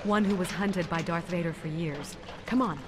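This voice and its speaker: An actress playing a resolute, caring Jedi princess in an audio drama, earnest and determined. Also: female voice, on the low side, moderate pace, lightly acted. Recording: noisy effects-laden soundtrack.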